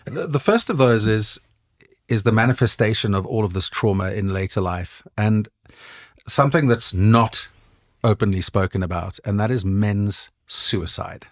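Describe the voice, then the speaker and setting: A man's voice: fairly low pitch, moderate pace. A man hosting a conversational interview, putting questions to his guest. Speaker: podcast host.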